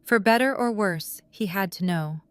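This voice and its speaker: A woman's voice: mid-range voice, normal speed, no room sound. A woman narrator reading scripted dramatic prose for an audio drama.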